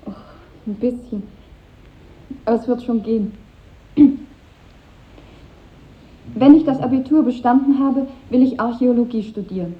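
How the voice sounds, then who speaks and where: Through a narrow, phone-like vintage radio recording comes a high-voiced, earnest schoolgirl, played by an actress. She speaks precisely and idealistically, as in a 1950s radio drama. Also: fairly quick, natural voice. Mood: earnest, eager. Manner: earnest, precise reading aloud of an essay. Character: earnest, idealistic schoolgirl in her final year.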